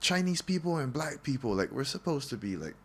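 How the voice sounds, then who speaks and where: A man's voice: medium pitch, very animated, brisk, husky. A man from Singapore hosting a casual podcast chat, joking and cursing freely with plenty of fillers. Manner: loose, joking, profane, lots of fillers. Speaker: podcast host.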